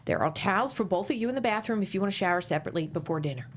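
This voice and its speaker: A woman's voice: medium pitch, expressive, rapid-fire, a little hiss or hum, close to an everyday voice. An actress playing a bossy middle-aged mother at a table read, prim and scolding, swapping swear words for tame ones. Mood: clipped. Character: bossy middle-aged Midwestern mom, Sally.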